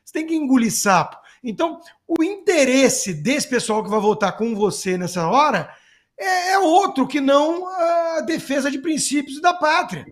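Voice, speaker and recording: A man's voice: extremely high pitch, lively intonation, moderate pace. A man with a Brazilian accent giving off-the-cuff political commentary, sarcastic, emphatic and colloquial. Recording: music playing behind the voice. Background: music.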